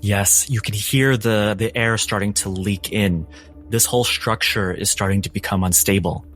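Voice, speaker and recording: A man's voice: fairly low pitch, steady tone, normal speed, a bit bright. A man narrating a tabletop role-playing game as game master, in a dramatic, descriptive way. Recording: background music under the voice.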